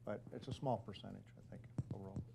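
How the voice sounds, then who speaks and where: A man's voice: fairly low pitch, fairly even intonation, fast talker, gravelly, very noisy. A man speaking as a panelist in a live investor panel, plain and matter-of-fact.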